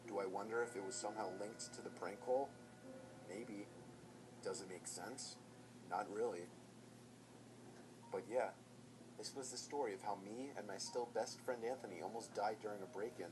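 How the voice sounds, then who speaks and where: A man telling a first-person horror story in a measured, tense scripted narration. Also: on the low side, noisy background.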